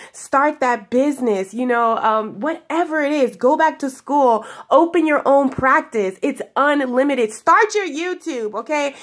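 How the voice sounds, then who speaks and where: A young woman speaks with a slightly high voice that sounds stuffy and nasal from sinus trouble. She gives a spiritual daily message to her viewers, warm in her words, drawn out and giggly in her delivery, and she talks straight to her camera. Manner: affectionate, giggly, drawn-out phrases. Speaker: spiritual guidance vlogger. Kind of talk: casual spiritual talk to viewers. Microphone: camera in front of her.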